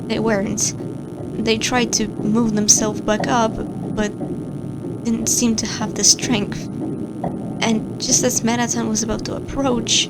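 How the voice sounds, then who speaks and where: A woman voicing a nervous female monster scientist who records fearful logs, speaking in a halting, stammering way. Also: a little high, very noisy. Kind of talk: audio log horror narration. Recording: loud music theme underneath.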